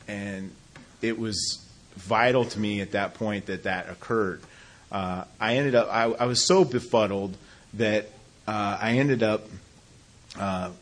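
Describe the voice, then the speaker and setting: A man sharing his own recovery story in a halting, self-deprecating way, often restarting sentences and laughing at himself. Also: on the low side. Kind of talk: personal testimony talk.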